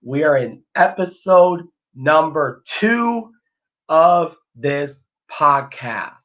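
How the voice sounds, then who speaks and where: A man hosts a casual live sports podcast, unhurried and taking his time over each thought. His voice sounds a little worn, and he rambles easily with plenty of ums and restarts. The stream audio is dull and lo-fi. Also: fairly high pitch, animated. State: voice a bit worn after nearly losing it. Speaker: podcast host.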